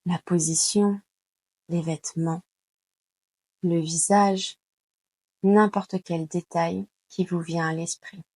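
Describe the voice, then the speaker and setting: Very slow, soft-edged woman guiding meditation. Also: on the low side, no echo. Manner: calm, unhurried, soothing instruction with long pauses. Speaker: yoga nidra guide. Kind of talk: slow guided meditation narration.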